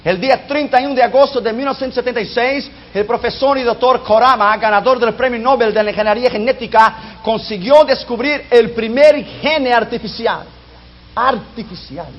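A man preaching a sermon whose first language is not Spanish, emphatic and dropping low and soft on key lines. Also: normal speed. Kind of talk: sermon on prophecy. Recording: dull lo-fi recording.

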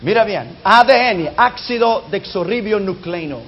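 Male preacher, non-native speaker, high voice, emphatic delivery; lo-fi, muffled recording. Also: animated, moderate pace, a little hiss or hum. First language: not Spanish. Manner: emphatic, drops low and soft on key lines. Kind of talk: sermon on prophecy. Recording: dull lo-fi recording.

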